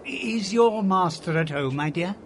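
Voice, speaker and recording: Effusive old British gentleman, high-pitched. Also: lively intonation, unhurried, slight background noise. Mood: apologetic, courteous. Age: older. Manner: effusive, stammering. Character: reformed old miser, apologetic, giddy and grateful. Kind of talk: family radio drama acting.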